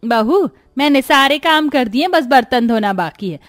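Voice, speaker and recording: A woman's voice: high voice, animated, normal speed, no room sound, clean studio sound. A woman voicing a greedy middle-aged mother-in-law in a moral cartoon story, with a melodramatic delivery. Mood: weary.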